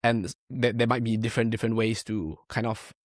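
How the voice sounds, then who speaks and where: A man's voice: fairly low pitch, steady tone, brisk, no room sound, studio quality. A young man from Malaysia, a powerlifting coach, talking casually in a podcast interview, filling his speech with "like" and "right?". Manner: rambling, many fillers and tag questions.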